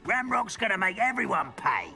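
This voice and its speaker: A menacing goblin henchman, with a gravelly, creaky voice and swooping, animated delivery. He is a scornful, hostile video game villain, heard over clear background music. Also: sits high, moderate pace, heavily acted. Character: hostile, menacing male goblin loyalist soldier. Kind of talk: video game character acting.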